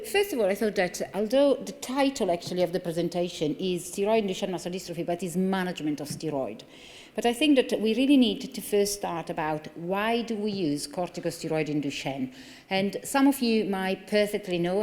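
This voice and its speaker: Italian woman doctor at a lectern, mellow lowish voice, hall reverb, earnest explainer. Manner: earnest, explanatory, with frequent fillers and restarts. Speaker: consultant neurologist. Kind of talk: conference lecture. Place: large conference hall. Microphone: lectern microphone. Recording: long reverb of a large hall.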